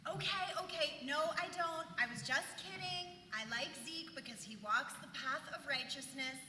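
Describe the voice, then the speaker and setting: A woman's voice: high-pitched, moderate pace, sharp tone. A woman acting a cheeky yet pious and judgmental young colonial woman in a staged play reading, playful and prim. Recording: echoey hall, far mic, noisy.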